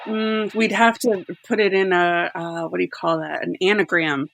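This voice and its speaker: A woman's voice: on the low side, steady tone, moderate pace, gravelly, loud music behind the voice. A woman who designs sound effects for a podcast, chatting playfully and laughing easily. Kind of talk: casual chat. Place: apartment.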